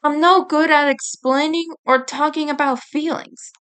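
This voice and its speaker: A blunt teenage turtle brother from a story, voiced by a woman, pitched high. He is guilt-ridden and awkward with feelings, and he speaks in unhurried lines. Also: steady tone, a bit bright. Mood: guilty, upset.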